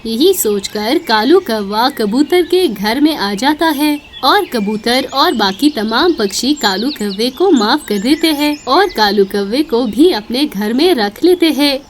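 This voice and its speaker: A woman with a high voice narrates a scripted children's tale. Faint noise sits in the background. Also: animated, slow, hardly any pauses. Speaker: story narrator.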